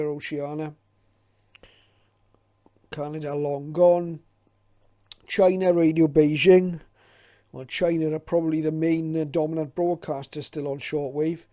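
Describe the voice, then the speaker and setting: A man with a soft, relaxed tone gives an unscripted, informative commentary. His delivery stays very even and a little hesitant, as if thinking things over. The sound is close and dry. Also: a little high, a little slow, pauses often, no room sound. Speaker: hobbyist presenter. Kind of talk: casual unscripted commentary while leafing through a guide.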